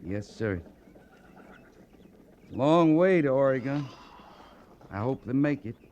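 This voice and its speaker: An actor playing a bashful, plain-spoken cavalry sergeant with a rustic drawl in an old-time radio western. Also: male voice, mid-range voice, lively intonation, slow, a little mellow. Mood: subdued. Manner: rustic, ungrammatical frontier drawl. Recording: noisy old radio drama recording.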